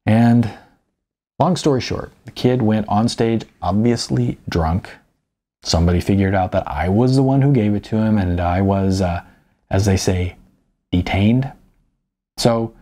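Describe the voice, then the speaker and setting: A man voicing a weary, self-deprecating writer of almost fifty, halting and sardonic, in an audiobook. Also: lowish voice, lively intonation, mellow. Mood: wry, rueful.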